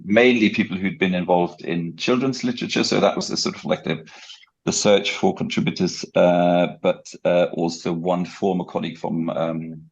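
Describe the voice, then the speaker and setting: A middle-aged man with a German accent, explaining things in a halting, conversational way as a podcast guest. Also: low voice, gravelly, highs cut off. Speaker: guest, academic researcher and book editor. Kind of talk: conversational podcast interview account.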